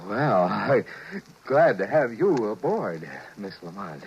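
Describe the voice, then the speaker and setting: A man's voice: fairly low pitch, sing-song, slow pace, husky, heavily acted. An actor playing a harried, wry husband and businessman in a 1950s radio drama. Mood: flustered. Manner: flustered, wry. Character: harried, exasperated husband and businessman narrating his woes. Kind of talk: 1950s radio drama acting and first-person narration. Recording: muffled vintage 1950s radio recording.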